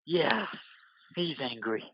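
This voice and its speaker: A man playing a wounded, hulking biker in an audio play, husky and bitter, swearing through gritted delivery. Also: a little high, sing-song, takes it slowly, no room sound, highs cut off, full character voice. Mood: grim. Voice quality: husky, rough. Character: wounded, hulking, foul-mouthed male biker, gruff and bitter.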